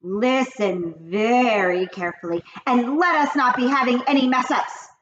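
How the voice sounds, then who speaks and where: A woman voicing the Grand High Witch, an imperious, shrieking female witch leader who drags out her commands. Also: a bit bright, echoey room, slight background noise. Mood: commanding. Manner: slow, drawn-out, bellowing commands. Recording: dull lo-fi recording.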